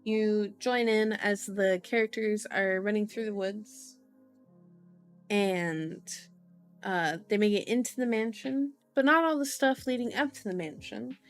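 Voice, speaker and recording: A woman's voice: mid-range voice, measured pace, soft background music. A woman hosting an ASMR book reading with casual, playful asides. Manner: playful asides, repeats words for fun. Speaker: ASMR host reading a novel aloud.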